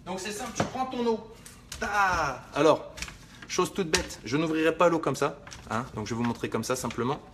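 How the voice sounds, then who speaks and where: A young man chats to his livestream viewers in a joking, rambling way, swearing casually as he shows how he cuts lemons. His voice sits a little high for a man. Faint clicking and noise sit behind him. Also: lively intonation, normal speed. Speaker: fitness livestream host. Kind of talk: casual live cooking demo.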